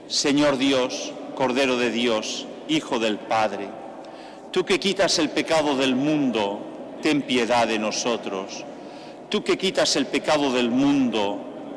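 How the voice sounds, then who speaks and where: Slow older priest in a reverberant church. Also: male voice, medium pitch. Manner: slow, measured liturgical delivery. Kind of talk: leading a church mass. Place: large church interior. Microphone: stand mic at the altar. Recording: long church reverb, faint background noise.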